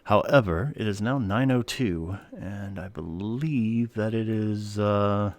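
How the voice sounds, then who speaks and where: Chill guy streaming a modded game, lowish voice, jokey about himself. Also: slow pace, no echo. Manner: relaxed, self-mocking, narrates his own actions. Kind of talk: casual live-stream chat while playing.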